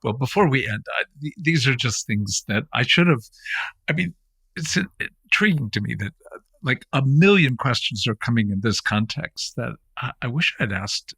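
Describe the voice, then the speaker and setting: A man hosting a podcast, talking in an earnest, rambling way with many halting restarts. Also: mid-range voice, lively intonation, vocal fry, fairly bright tone, husky, dry recording. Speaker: podcast host, founder of the center. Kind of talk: warm conversational podcast interview.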